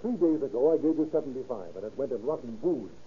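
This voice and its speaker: An American actor playing a stern, fed-up, protective lodger who scolds bluntly, in 1930s radio drama style. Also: male voice, mid-range voice, lively intonation, fast talker, husky. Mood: exasperated. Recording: scratchy, tinny old radio recording.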